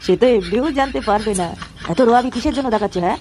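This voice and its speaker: A woman's voice: a little high, very fast, a little hiss or hum, natural voice. A woman voicing a gloating male gang boss. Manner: gloating, mocking, crude. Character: sneering, boastful male gang boss villain. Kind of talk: homemade cartoon voice acting. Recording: loud background music.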